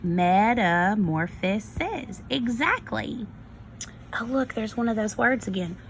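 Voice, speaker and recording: A woman kindergarten teacher reading a story to young children, expressive and instructive, prompting them with questions. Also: on the high side, takes it slowly, slight background noise, poor audio. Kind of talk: read-aloud lesson for young children.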